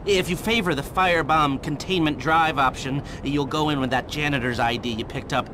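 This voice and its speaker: An actor playing a nervy, sarcastic middle-aged heist planner in a video game, explaining in a halting, excitable way. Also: male voice, fairly high pitch, noisy background, lightly acted. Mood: businesslike, wry. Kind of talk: video game cutscene acting.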